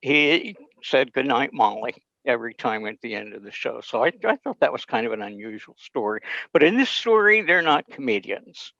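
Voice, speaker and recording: A man presenting a session on old radio in an informal, halting, unscripted way over a video call. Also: mid-range voice, animated, gravelly, pushed and bright, no echo. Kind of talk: informal explanatory talk. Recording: dull video-call audio.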